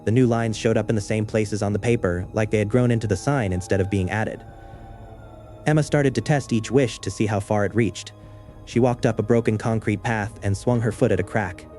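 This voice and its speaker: Male narrator, lowish pitch, dark soft tone, measured horror storytelling, literary and understated, faint music bed. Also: fairly even intonation. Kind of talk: scripted first-person horror story narration.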